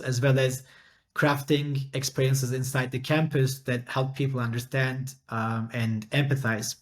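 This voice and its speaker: A middle-aged man from Turkey answers interview questions at an even, steady pitch. He speaks carefully and thoughtfully, pausing often to fill gaps with ums and uhs. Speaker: startup founder and CEO, interview guest.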